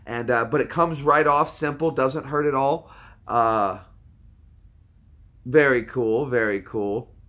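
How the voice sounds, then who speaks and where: A man in his thirties hosts a casual livestream and talks to his viewers in a rambling, easygoing way, dropping "dude" and "man" often. His voice sits in an ordinary middle pitch. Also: slow, long pauses, sharp tone. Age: 30s. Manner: laid-back, rambling, says dude and man a lot. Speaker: livestream host.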